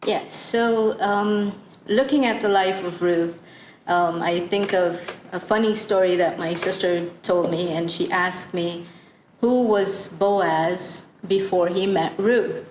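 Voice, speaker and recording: A woman from Guyana, a church panelist, reflecting on Bible stories in a halting, thoughtful way. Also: lowish voice. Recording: echoey room with faint noise.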